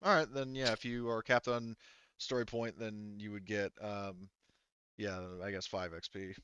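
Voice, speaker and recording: A man's voice: on the low side, steady tone, unhurried, fairly bright tone, slight background noise. A man chatting casually as a game master, informal and given to swearing, on dull lo-fi audio. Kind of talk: casual chat after a tabletop game.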